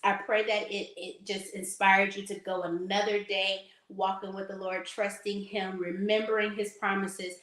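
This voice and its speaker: A slightly hoarse woman hosting a faith talk, preaching casually to her audience in a sassy, emphatic way. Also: medium pitch, flat delivery, normal speed, hardly any pauses, a bit bright, echoey room, rough recording. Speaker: faith talk host and lifestyle consultant.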